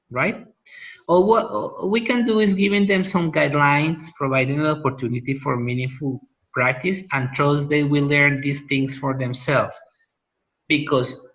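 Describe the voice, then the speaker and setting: A man from Colombia gives a class lecture in English with a clear Colombian accent. He talks at a middle pitch, pausing on fillers and restarting phrases. The sound is somewhat dull and lo-fi. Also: a bit bright. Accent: Colombian Spanish. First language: Spanish. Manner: hesitant, many restarts and fillers. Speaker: teacher.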